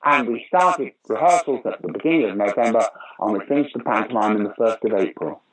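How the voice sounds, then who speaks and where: An older man, a guest actor and comedian, telling stories in an interview with sudden loud bursts of enthusiasm. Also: on the low side, brisk, poor audio. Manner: animated anecdotal storyteller with sudden loud bursts. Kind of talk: conversational interview storytelling.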